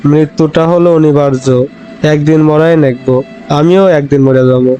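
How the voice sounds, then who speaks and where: A man's voice: on the high side, steady tone, normal speed, warm tone, dull audio, slight background noise, lightly acted. A man voicing a humble, philosophical, resigned young village man in a cartoon, in a rural Bangladeshi Bengali dialect. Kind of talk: Bangla comedy cartoon dubbing.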